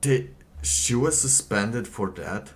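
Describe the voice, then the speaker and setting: A man giving casual livestream commentary and reading documents aloud, halting and rambling, often pausing mid-sentence. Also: mid-range voice, expressive. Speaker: livestream host.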